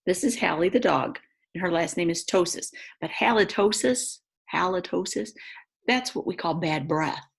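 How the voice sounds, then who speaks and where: A woman reads a picture book aloud to young children in an expressive way, adding chatty asides about the pictures. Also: lowish voice, moderate pace, creaky. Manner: expressive, exclaims and gasps over the pictures. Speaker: read-aloud storyteller for children.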